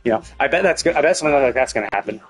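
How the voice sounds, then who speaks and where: A man's voice: mid-range voice, animated, rapid-fire, slightly rough, faint music behind. A man giving casual, speculative game commentary as a live streamer, thinking aloud and often rephrasing himself.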